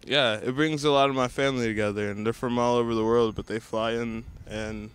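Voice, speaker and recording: A man born in Nigeria who grew up in Detroit, answering street interview questions in a relaxed, offhand way. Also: mid-range voice, fairly quick, clean tone, dry recording.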